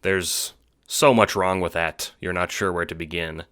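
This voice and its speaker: Deep-voiced man narrating audio drama, crisp edge. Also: steady tone, measured pace, pushed and bright. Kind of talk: scripted audio drama narration, second person.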